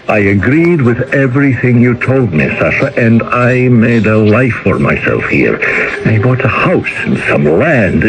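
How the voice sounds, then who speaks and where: An actor playing a wistful, excitable exiled Russian former official who justifies himself, in a radio drama. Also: male voice, medium pitch. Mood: proud, defensive. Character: yearning, self-deluding exiled Russian former government official. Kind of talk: 1970s-80s radio drama acting. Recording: noisy old radio broadcast.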